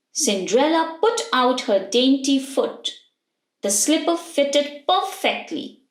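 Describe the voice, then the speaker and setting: A woman narrating a children's bedtime fairy tale in an expressive storybook style. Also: sits high, reverberant.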